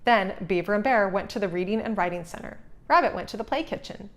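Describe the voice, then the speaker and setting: A woman reading a children's storybook aloud as narrator, expressive and suited to young listeners. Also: medium pitch, quick pace.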